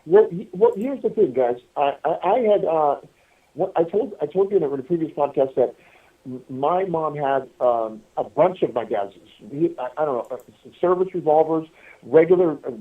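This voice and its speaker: A man telling stories in a casual, rambling way, halting and repeating himself as he addresses his listeners over a telephone. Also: fairly high pitch, animated, far from the mic. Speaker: podcast guest calling from prison. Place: prison phone call. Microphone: telephone handset. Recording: tinny, band-limited prison phone line.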